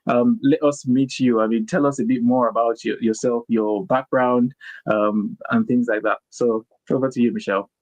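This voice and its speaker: A male podcast host talks in a casual, halting way, full of restarts and filler sounds. His voice is mid-pitched, and the recording is dry with no room sound. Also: steady tone, a little mellow, no echo, dull audio. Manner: hesitant, many restarts and ums. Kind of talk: casual interview talk.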